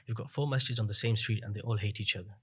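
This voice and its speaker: Fast-talking man, low husky voice. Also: fairly even intonation, a bit bright. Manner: fast, reflective storytelling with many fillers. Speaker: guest. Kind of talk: casual interview chat.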